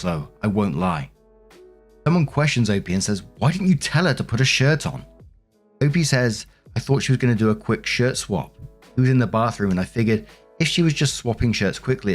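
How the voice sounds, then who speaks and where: A man narrating written internet posts aloud as a storyteller. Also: fairly low pitch. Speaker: narrator of online stories.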